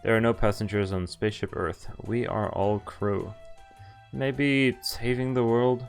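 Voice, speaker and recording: A man's voice: on the low side, steady tone, measured pace, gravelly. A man streaming a visual novel, reading its dialogue aloud and commenting casually. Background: game music.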